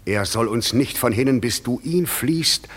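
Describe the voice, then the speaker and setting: An actor playing the proud, commanding fairy king in a classic verse radio play, with a measured, stately delivery. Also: mid-range voice, lively intonation, husky.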